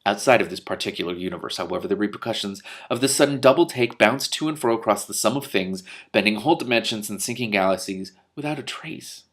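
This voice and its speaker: A man's voice: fairly low pitch, lively intonation, quick pace, hardly any pauses. Man reading a novel aloud, restarting. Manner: breaks off narration with loud disbelieving swearing; trips and restarts on words while reading. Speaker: reader of a novel with commentary.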